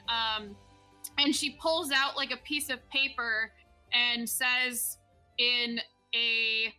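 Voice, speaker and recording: A woman narrates as game master, recapping the last session and then chatting with her players. Her voice sits fairly high and is bright. She talks in a loose, chatty way and laughs easily. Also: low-quality recording. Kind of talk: recap narration and casual play.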